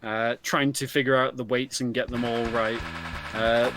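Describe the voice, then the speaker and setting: A man giving casual live co-commentary on a speedrun, explaining in halting phrases and repeating words. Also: mid-range voice, flat delivery. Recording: game music behind the voice. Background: video game music.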